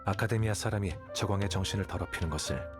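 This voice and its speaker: A man playing a calm, aloof, calculating young scholar in a video game, explaining things in a composed, matter-of-fact way. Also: low-pitched, fast, loud music behind the voice, close to an everyday voice. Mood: calm, explanatory. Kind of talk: scripted game dialogue, Korean voice acting.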